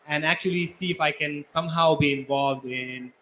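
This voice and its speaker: Higher-pitched man, faint background noise. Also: steady tone, moderate pace, a bit bright, rough recording. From Nepal. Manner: earnest, explanatory. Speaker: climate researcher panelist. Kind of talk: spontaneous panel talk.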